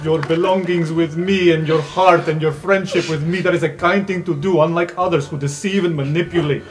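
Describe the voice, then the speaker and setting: A man's voice: fairly high pitch, fairly even intonation, a little fast, voice pushed up, natural voice. A man voicing a dejected, formal male student who speaks stiffly and bitterly in an improvised tabletop game. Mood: bitter, wounded.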